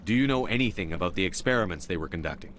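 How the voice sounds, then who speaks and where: An actor playing a stern, terse military commander in a video game. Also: male voice, low-pitched, lively intonation, rapid-fire, fairly bright tone, a little hiss or hum. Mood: firm, commanding. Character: stern, decisive human military commander on a mission. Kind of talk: video game dialogue acting.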